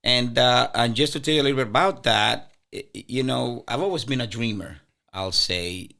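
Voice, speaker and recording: A man's voice: mid-range voice, normal speed, creaky, pushed and bright. A man in his fifties from the Dominican Republic, a native Spanish speaker, talking earnestly as a guest on a podcast. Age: 50s. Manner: earnest, stammers on restarts, laughs often. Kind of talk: casual interview chat.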